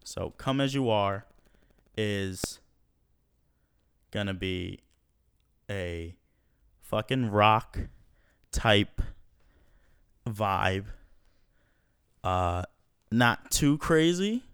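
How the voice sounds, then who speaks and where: A man's voice: fairly low pitch, animated, extremely slow, pauses often, a bit bright, smooth, dry recording, studio quality. A young man hosting a casual podcast at home, slangy and laid-back, swearing often. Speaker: podcast host. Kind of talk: casual profane podcast chat.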